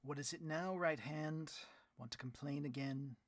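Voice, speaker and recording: A man playing a vain, easily riled supervillain boss in a comedic audio roleplay, grandiose and melodramatic, with a husky voice. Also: on the high side, moderate pace, no room sound, clean studio sound, natural voice. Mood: petulant. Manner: grandiose, melodramatic, petulant speechifying.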